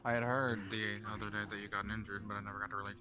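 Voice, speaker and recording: Loud music sits behind a man playing a concerned townsman in an improvised roleplay game. His voice is on the low side, and he talks like someone looking after his friends. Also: flat delivery, very fast, close to an everyday voice. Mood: caring, concerned. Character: caring, concerned male townsman. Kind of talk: improvised in-character roleplay dialogue.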